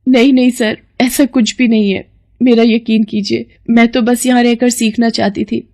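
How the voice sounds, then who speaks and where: A woman voicing an earnest, polite young aspiring model in a moral story, speaking haltingly and mixing English into Hindi. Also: on the high side, flat delivery, a little mellow, voice pushed up. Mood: frightened, pleading. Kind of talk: dramatised moral-story acting.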